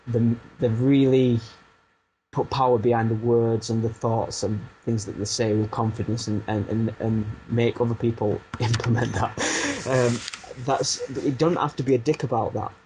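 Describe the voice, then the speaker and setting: A man's voice: mid-range voice, moderate pace, a little mellow, a little hiss or hum, rough recording. Rambling Barnsley-accented man. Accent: Yorkshire, Barnsley. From Barnsley, England. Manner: rambling, self-deprecating, frequent restarts and fillers. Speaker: music producer turned coach. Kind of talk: casual podcast interview.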